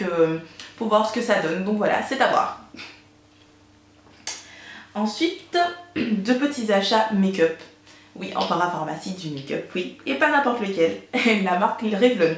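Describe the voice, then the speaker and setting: A woman with a cold, talking casually to her viewers about beauty products she bought, her voice a little hoarse and low for her, with lots of fillers. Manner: chatty, many fillers and repeated "donc". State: congested, recovering from flu. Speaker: beauty vlogger. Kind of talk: casual beauty haul vlog. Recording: echoey room.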